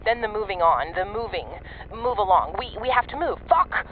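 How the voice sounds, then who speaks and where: A woman's voice: mid-range voice, lively intonation, normal speed. An actress playing a sardonic, blunt female secret agent in an audio drama, cornered and unravelling, heard through a radio filter. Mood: frantic. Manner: blunt, terse, swearing. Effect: radio transmission filter. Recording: tinny narrow-band radio with music.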